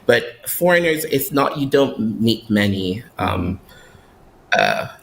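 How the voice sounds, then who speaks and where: A man's voice: lowish voice, big pitch swings, slow, slight background noise. A man from Barbados, a guest who lives abroad, talking casually in an interview with many fillers and restarts.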